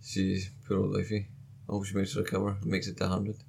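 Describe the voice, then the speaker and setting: A man's voice: low voice, monotone, quick pace, gravelly, a little mellow, slight background noise. A man co-hosting a casual podcast, laid-back, murmuring agreement and dry remarks. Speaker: podcast co-host.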